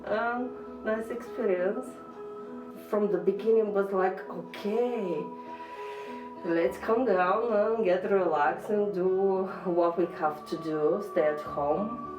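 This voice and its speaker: A woman from Bulgaria with a Bulgarian accent gives an informal personal account in simple non-native English with short pauses. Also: mid-range voice, dull audio. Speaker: dressmaker being interviewed. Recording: roomy, distant mic, music behind.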